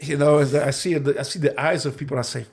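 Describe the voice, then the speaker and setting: A man from Poland with a Polish accent, a native Polish speaker telling stories as a guest in a casual interview, often halting and repeating words. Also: medium pitch, steady tone, vocal fry, breathy edge.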